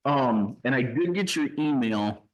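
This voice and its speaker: A man at a town meeting chimes in with quick "yep"s and gentle teasing, sitting on the select board. His voice sits at an ordinary middle pitch. He keeps a casual, easygoing back-and-forth. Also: expressive, muffled recording. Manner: brief affirmations, teasing.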